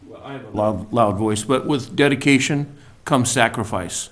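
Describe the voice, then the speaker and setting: A man on a city council speaking earnestly and slowly, with some hesitation. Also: medium pitch, fairly bright tone. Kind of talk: council meeting remarks. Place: council chamber.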